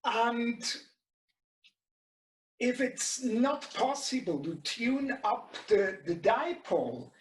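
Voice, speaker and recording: High-voiced Swiss German man, echoey room. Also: very animated, slight background noise. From Switzerland. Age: middle-aged. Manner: halting, searching for words in non-native English. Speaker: webinar presenter and radio shop co-owner. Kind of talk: informal product tutorial. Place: small indoor radio workshop. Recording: echoey room, distant mic.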